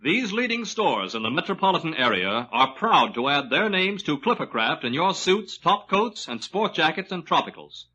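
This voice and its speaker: A male radio announcer briskly reading a list of stores for a commercial. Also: a little high, sing-song, pushed and bright, slightly rough. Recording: dull lo-fi old radio.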